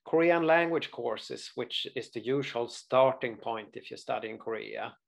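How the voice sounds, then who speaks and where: A man in his forties with a Swedish accent walks viewers through a study abroad webinar from a small room on a webcam. His voice sits at a middle pitch with a gravelly edge. He speaks earnestly and keeps stopping on uh and restarting words. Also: a little slow. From Sweden. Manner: earnest, frequent uh fillers and restarts. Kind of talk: webinar presentation over slides.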